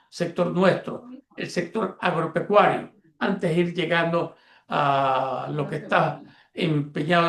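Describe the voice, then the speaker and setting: A man's voice: on the high side, gravelly. A man, hosting a radio interview and asking long, winding questions. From Ecuador. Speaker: radio show host. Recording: echoey room.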